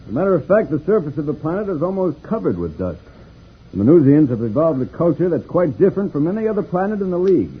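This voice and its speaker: A man's voice: fairly high pitch, sing-song, fast talker, breathy edge, exaggerated voice. A man playing a confident, kindly space pilot in a vintage radio serial, patient and instructive with authority. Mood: assured, explanatory. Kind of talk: 1950s radio serial acting. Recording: muffled, scratchy old radio recording.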